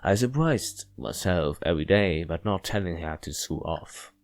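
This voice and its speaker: Visual novel lead: brooding, withdrawn man, a player reading him aloud, low-pitched, deadpan, very dry recording. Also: normal speed, gravelly, no echo. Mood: reflective. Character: Jack, aloof, withdrawn, brooding male narrator of visual novel.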